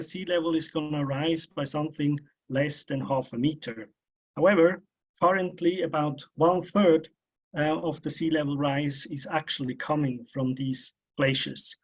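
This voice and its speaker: A man with a somewhat higher-pitched voice presents scientific research in an academic talk. He explains step by step in a hesitant way, pausing often between phrases. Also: low-quality recording. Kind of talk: academic seminar talk with slides.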